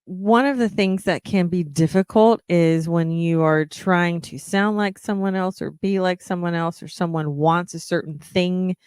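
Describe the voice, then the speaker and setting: Podcast host, female, slow encouraging delivery, smooth voice, dry close mic. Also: on the low side, fairly even intonation, a little mellow, dry recording. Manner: earnest, encouraging, many ums. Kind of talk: reflective solo podcast talk.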